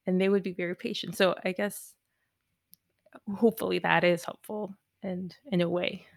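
Gravelly low-voiced woman chatting. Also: little pitch movement, moderate pace. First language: Spanish. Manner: rambling, many "like"s. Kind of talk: casual group chat.

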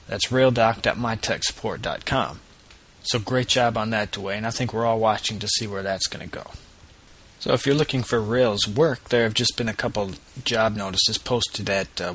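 Bright, husky-voiced male podcast host. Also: lowish voice. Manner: casual and upbeat, with easy laughs. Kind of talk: news roundup and interview.

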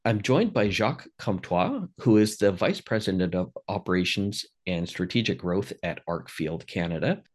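A man hosting a podcast interview, upbeat and quick to laugh. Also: sits low. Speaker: podcast host.

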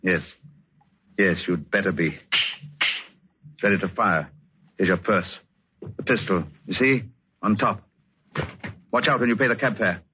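A man's voice: on the low side, a little slow, long pauses, close to an everyday voice. Cold commanding villain played by a man, old radio. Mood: cold menace. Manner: clipped, commanding. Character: cold, controlling male villain coercing his accomplice. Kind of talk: 1940s radio suspense acting. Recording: dull, faintly noisy old radio recording.

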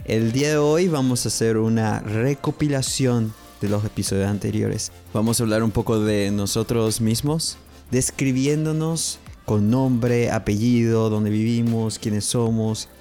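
A man, hosting a podcast English lesson and repeating phrases slowly and carefully for learners. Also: on the low side, steady tone, mellow, faint music behind. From Paraguay. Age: 30s. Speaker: English teacher, podcast host.

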